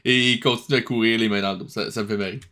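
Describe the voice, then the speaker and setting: A man's voice: on the low side, steady tone, racing, over loud music. A man with a Québécois accent giving casual, mocking, incredulous commentary as host of a cartoon review.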